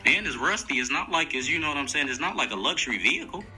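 A man's voice: a little high, expressive, quick pace, bright, forceful tone. A young man making a casual prank phone call, talking in slang and haggling in a needling way. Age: young adult. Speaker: prank caller. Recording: music behind the voice.

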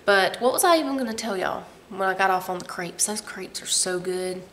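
A woman's voice: medium pitch, fairly quick, fairly bright tone, a little hiss or hum. A woman, chatting casually to camera in her living room, rambling and laughing as she goes. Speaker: family vlogger. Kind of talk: casual vlog chat.

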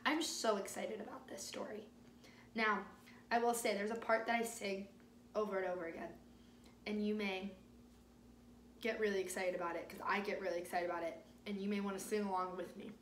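A young woman telling stories to small children as a teacher, excited and expressive, with dramatic pauses. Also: medium pitch. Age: young adult. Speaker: teacher hosting a children's story time. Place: indoor living space at home. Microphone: phone or camera a few feet away. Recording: roomy home recording with faint noise.

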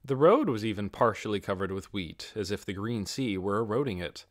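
A man's voice: fairly low pitch, lively intonation, a little fast, dry recording, clean studio sound. A man narrating a fantasy audiobook from a written script.

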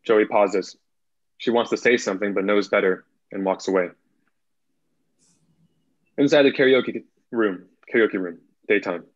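A young man reads screenplay directions as a narrator. His voice sits on the low side, and it comes through a video call, dry and slightly lo-fi. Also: unhurried, pauses often, no room sound. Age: young adult. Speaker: narrator reading stage directions. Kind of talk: scripted narration. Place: indoor room at home, video call.

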